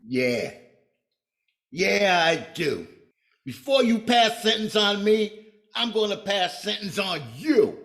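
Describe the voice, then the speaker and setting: A man's voice: high voice, very animated, slow, vocal fry, pauses often, fairly bright tone, exaggerated voice. An actor playing a vengeful condemned gangster, talking in threatening tough-guy slang in an old-time radio crime drama. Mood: defiant.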